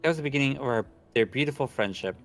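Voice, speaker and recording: A man's voice: mid-range voice, lively intonation, racing. A young man casually reading visual novel narration aloud and chatting, stumbling over words now and then. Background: soft background game music.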